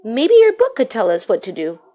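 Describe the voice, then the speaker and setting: Lady being a little kid dragon in a storybook, soft-hearted, kinda bummed out, highish voice. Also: huge pitch swings, brisk. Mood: subdued. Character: seven-year-old boy dragon, gentle and discouraged.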